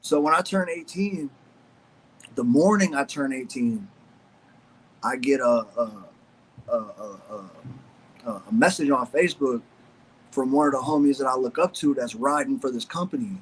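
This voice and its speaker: A man's voice: medium pitch, moderate pace, pauses often, husky, poor audio. A young man from Ohio, a former pro BMX rider, telling stories in a loose, casual chat full of fillers and swearing. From Ohio, USA. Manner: loose, profane storytelling with many fillers.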